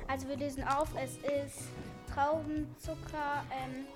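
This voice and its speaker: A woman with a high, bright voice hosts a blind tasting game. She announces each answer briskly and cheerfully. Music plays clearly behind her. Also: little pitch movement. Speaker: interviewer and quiz host. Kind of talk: hosting a blind taste test and interview.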